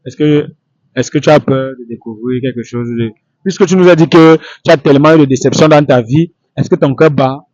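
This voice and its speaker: A man hosting an unscripted street interview, speaking in halting, repetitive phrases. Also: mid-range voice, a little mellow, no room sound, muffled recording.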